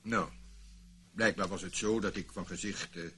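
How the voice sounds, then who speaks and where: An actor playing a guarded, indignant middle-aged former army officer under questioning in a radio play, curt and evasive. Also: male voice, deep voice, steady tone, moderate pace, a bit bright, slight background noise. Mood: reluctant recounting.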